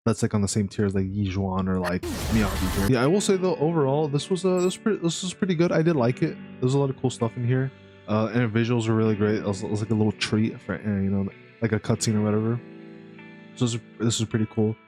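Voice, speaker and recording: A man's voice: on the low side, steady tone, fast, a little mellow, faint music behind. A man giving casual, rambling reaction commentary as a gaming streamer, laid-back and informal.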